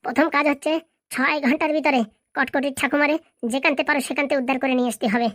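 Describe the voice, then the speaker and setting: A woman's voice: high-pitched, steady tone, fast, a bit bright, no echo, clean studio sound. A woman playing a bossy, threatening female boss in a comedy cartoon, scolding and giving orders. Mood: stern, threatening. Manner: scolding, commanding. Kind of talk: Bengali comedy cartoon dialogue.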